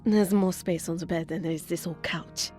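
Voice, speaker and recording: A woman playing a gentle, earnest and hesitant young lady in a romantic audio drama. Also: on the low side, steady tone, normal speed, faint music behind, close to an everyday voice. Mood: flustered. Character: sweet, shy, earnest young woman, a seamstress.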